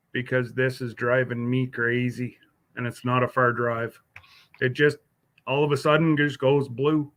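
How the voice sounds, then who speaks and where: Slow, rambling man chatting with viewers. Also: mid-range voice, a bit bright. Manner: rambling, halting, many fillers and restarts; addresses viewers by name. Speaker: livestream host. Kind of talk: casual chat answering live viewers.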